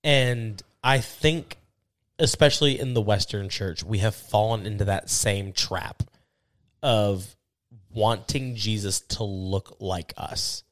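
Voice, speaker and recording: A man's voice: fairly low pitch, slow pace. A man co-hosting a casual faith podcast, affirming and reflective. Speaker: podcast co-host.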